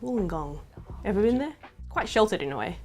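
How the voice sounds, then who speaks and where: A young Australian woman chats easily in a living room and rushes ahead when she makes a point. Her pitch is middle range, and faint music plays behind. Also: big pitch swings, a little mellow. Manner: casual, speeds up when making a point. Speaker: actor, interviewee. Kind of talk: casual interview chat. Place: indoor living room by a window.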